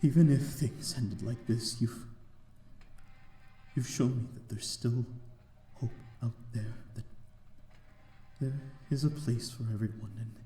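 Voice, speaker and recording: A man playing a lonely, remorseful vampire in an ASMR audio roleplay, with halting, stammering, formal old-fashioned speech. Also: medium pitch, warm tone, echoey room. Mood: bittersweet, resigned. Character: lonely, remorseful male vampire of a desolate manor.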